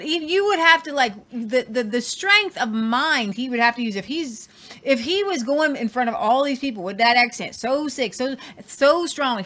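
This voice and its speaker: A woman with a slightly high voice co-hosting a casual talk show. She is animated and repeats words to drive a point home. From Southern Ohio. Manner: animated, repeats herself for emphasis. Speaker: co-host. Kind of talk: casual chat.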